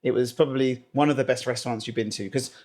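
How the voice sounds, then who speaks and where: A man's voice: medium pitch, steady tone, fast, a little mellow. A man hosting a podcast, asking loose, hesitant questions in a casual chat. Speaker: podcast host.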